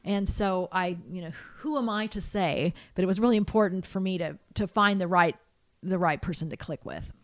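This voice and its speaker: Picture a middle-aged American woman recalling her years of music training in a relaxed chat. Her speaking voice sits a little low for a woman, and it comes across dry and close. She talks in a reflective way, with many restarts and fillers. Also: normal speed, no room sound. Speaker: interview guest, soprano singer. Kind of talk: relaxed conversational interview.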